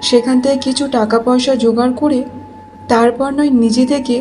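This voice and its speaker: Woman acting caring worried mother, fairly high mellow voice, cartoon dialogue, soft music. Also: fairly even intonation, brisk, slight background noise, close to an everyday voice. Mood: worried, advising.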